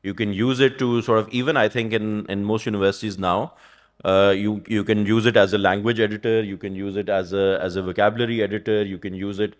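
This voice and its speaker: A man's voice: on the low side, flat delivery, fairly quick. A man from Pakistan, an economist speaking on a conference panel in a thoughtful, rambling way, often asking "right?". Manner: thoughtful, rambling, frequent "you know" and "right?". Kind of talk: panel discussion. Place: conference stage.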